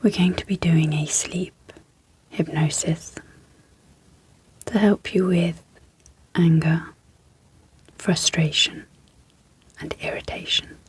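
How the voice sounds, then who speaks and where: A woman speaking in a breathy, whispery hush, gentle and measured with long pauses, guiding an ASMR sleep hypnosis as host. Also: lowish voice, steady tone. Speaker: ASMR host and clinical psychologist.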